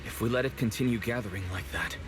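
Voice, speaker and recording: A man playing a calm, serious young investigator in a video game cutscene. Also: medium pitch, fast, natural voice. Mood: calm concern. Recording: game audio under music.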